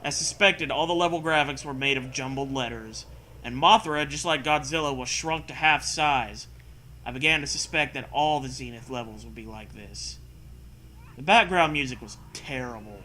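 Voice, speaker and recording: A man's voice: medium pitch, moderate pace, pushed and bright, slight background noise. A man reading a story aloud and breaking in with sarcastic, sweary casual commentary. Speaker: host reading and mocking fan fiction.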